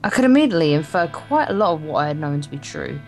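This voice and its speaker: A woman's voice: low-pitched, big pitch swings, quick pace, darkish tone. A woman reading visual novel narration aloud as a let's play co-host, stumbling and correcting herself as she goes. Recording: guitar music behind. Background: game's guitar music.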